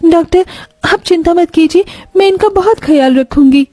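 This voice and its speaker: A woman's voice: very high-pitched, normal speed, mellow, faint music behind, pitched above the performer's own voice. A woman voicing a sweet, dutiful young village bride in a story, respectful and eager to help. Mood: reassuring.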